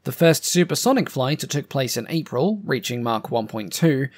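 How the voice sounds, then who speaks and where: A man hosts his own aviation history channel, reading a scripted narration in a measured, earnest tone. His voice sits at an ordinary middle pitch and sounds a little strained and uneven, as if he has been losing it. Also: very animated, breathy edge. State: voice a bit up and down from losing it.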